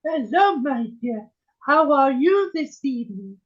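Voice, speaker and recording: A trance medium channeling a gentle female spirit sister on a video call, speaking in a solemn, old-fashioned way and calling everyone my dear. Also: high-pitched, very animated, dull audio. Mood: solemn, tender. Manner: slow, solemn, archaic phrasing, keeps saying my dear.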